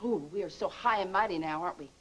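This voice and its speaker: A woman's voice: lowish voice, fast talker, slight background noise, lightly acted. A woman playing a bitter, scheming soap-opera lover. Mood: taunting. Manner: taunting, bitter, emotional. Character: bitter scheming woman, former nurse-accomplice, hurt and vengeful. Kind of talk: daytime soap drama acting.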